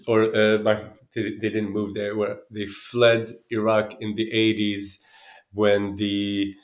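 A man in his thirties from Sweden speaking reflectively as an interview guest, often pausing and restarting as he searches for words. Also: on the low side. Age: 30s.

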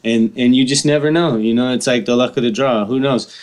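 A man hosts a podcast in a loose, conversational way. His talk is slangy and full of swearing, and he hammers points home by repeating them. The pitch is ordinary for a man. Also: a little fast, darkish tone. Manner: profane, slangy, emphatic repetition. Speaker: podcast host. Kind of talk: casual interview chat.

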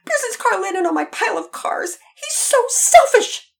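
A woman voicing a whiny, furious little girl who shouts and screeches, half crying, in a children's story. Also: very high-pitched, sing-song, moderate pace, creaky, voice pushed up, full character voice. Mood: screeching, tearful.

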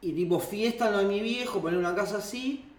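A man's voice: sits high, fast talker. A man with a Rioplatense Argentine accent chats casually as a guest, telling personal anecdotes in a candid, rambling way. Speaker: interview guest. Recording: roomy, echoey sound.

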